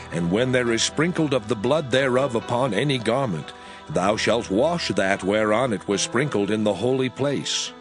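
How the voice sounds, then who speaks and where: A man narrating Bible scripture in a solemn, measured reading style. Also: mid-range voice.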